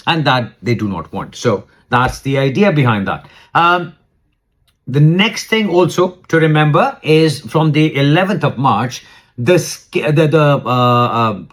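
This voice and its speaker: A man explaining rules to viewers as an adviser, informal and plain-spoken, repeating points for emphasis in a cautionary way. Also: mid-range voice. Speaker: immigration adviser.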